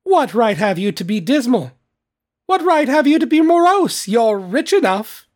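A narrator voicing a cheerful, warm-hearted young nephew in a storybook, earnest and lively, sometimes pleading. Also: medium pitch, a little slow.

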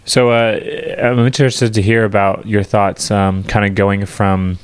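A man co-hosting a podcast, asking questions in a slow, measured way. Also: lowish voice, flat delivery, clean tone. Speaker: podcast co-host. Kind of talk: casual interview talk.

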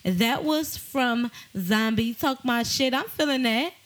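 A woman's voice: fairly high pitch, takes it slowly, a bit bright. A woman co-hosting a casual gossip podcast, brash and profane and joking. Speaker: podcast co-host.

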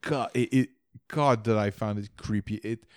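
A man's voice: lowish voice, normal speed, dry recording, studio quality. A man from French Canada, a native French speaker, co-hosting a casual book discussion with stammering restarts. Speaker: podcast co-host.

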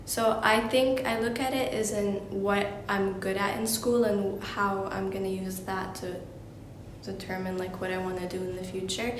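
A woman's voice: level tone, moderate pace. A teenage female student explaining her view casually. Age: teens. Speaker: school student. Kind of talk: casual discussion. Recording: roomy, clear rumbling noise.

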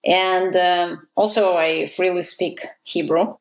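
A woman's voice: on the low side, flat delivery, slow pace. A woman, a guest in an interview, talking casually with many fillers and restarts. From Israel. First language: Russian.

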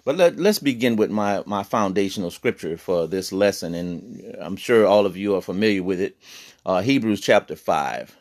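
A man's voice: sits low, expressive, a little mellow. A man teaching a Bible lesson on a podcast, earnest, with many fillers and restarts. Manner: reads scripture in slow old-fashioned cadence.

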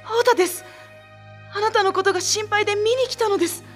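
A woman's voice: extremely high pitch, fairly quick. A woman playing an anxious young sister in a radio drama, speaking politely and hesitantly. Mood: worried, pleading. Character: timid, anxious young younger sister seeking her brother. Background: background music.

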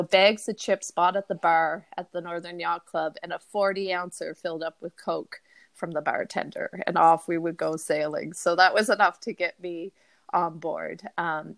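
Woman, middle-aged, interview guest, low voice, dry and close, loose chatty delivery. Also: vocal fry, no echo. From Cape Breton, Canada. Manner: rambling, many fillers and restarts. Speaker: podcast guest. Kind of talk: casual interview talk.

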